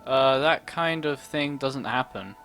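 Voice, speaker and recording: A woman's voice: very deep voice, a little slow, a bit bright. A woman streamer giving casual gameplay commentary with wry, jokey reactions. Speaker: streamer playing a game. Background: faint game music.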